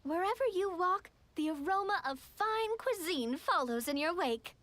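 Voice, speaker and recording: Very high, animated little game sidekick. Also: female voice, moderate pace, a little hiss or hum. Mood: friendly. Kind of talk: video game dialogue.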